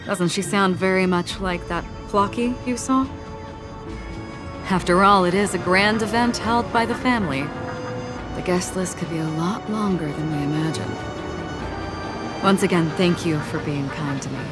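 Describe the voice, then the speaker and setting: A woman's voice: lowish voice, moderate pace, over music, natural voice. A woman playing a courteous, composed and mysterious lady with formal phrasing in a video game. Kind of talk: video game dialogue acting.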